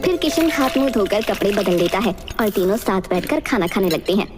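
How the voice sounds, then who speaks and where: A woman's voice: medium pitch, animated, brisk, loud music behind the voice. A woman narrating a scripted children's fairy tale.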